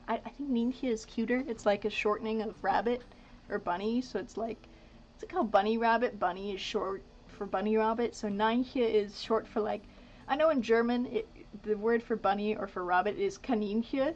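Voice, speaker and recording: A woman's voice: a little high, a little hiss or hum. A woman in her twenties whose first language is Dutch, chatting casually on a live stream, rambling and muttering asides as she works. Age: 20s. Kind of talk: casual live-stream chat while painting. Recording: slightly dull lo-fi stream audio with faint ambience.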